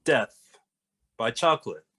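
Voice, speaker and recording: A man playing a serious, fastidious young detective in an animated comedy table read, speaking formally and firmly. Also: mid-range voice, husky, exaggerated voice. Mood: exasperated. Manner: formal, firm. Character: serious, fastidious young male detective, stern twin brother.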